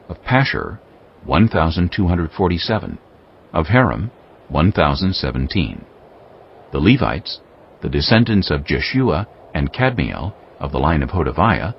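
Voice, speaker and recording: Deep, expressive male scripture narrator. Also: vocal fry, slightly rough, muffled recording. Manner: measured, formal. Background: music.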